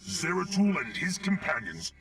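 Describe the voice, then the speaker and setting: An actor playing a zealous alien military officer in a video game, giving formal, declarative briefings. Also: male voice, high-pitched, animated, normal speed, vocal fry, heavily acted. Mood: stern, determined. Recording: distant-sounding voice over loud music.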